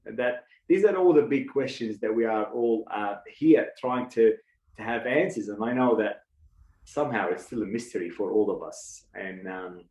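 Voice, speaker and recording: A man's voice: lowish voice, moderate pace, darkish tone, reverberant, low-quality recording. A man from Lebanon, a guest speaker, talking reflectively with many fillers and restarts. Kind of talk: reflective casual talk.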